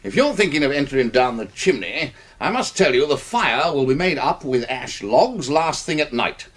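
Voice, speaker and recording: A man's voice: medium pitch, big pitch swings, normal speed, fairly bright tone. An actor playing an elderly, courteous British gamesman who shows off deadly contraptions with theatrical relish in a radio drama. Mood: teasing menace. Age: older.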